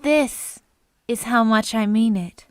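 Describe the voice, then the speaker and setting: A woman playing a sweet, high-voiced young daughter. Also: takes it slowly, clean tone, no room sound, studio quality. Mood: tender. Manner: sweet, pleading. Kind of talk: 1940s radio acting.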